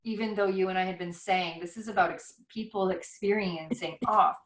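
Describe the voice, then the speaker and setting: A woman speaks over a video call in a middle pitch, the sound bouncing around a roomy space. She thinks out loud as she talks, circling back and questioning herself. Her reflective ramble feels unscripted. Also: fairly even intonation, normal speed. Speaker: author. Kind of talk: casual conversation over a call. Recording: roomy echo.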